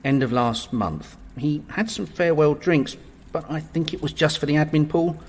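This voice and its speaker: A man's voice: a little high, moderate pace, long reverb tail. A man playing a deferential, long-suffering servant to a vampire in a comic audio drama, obsequious and apologetic.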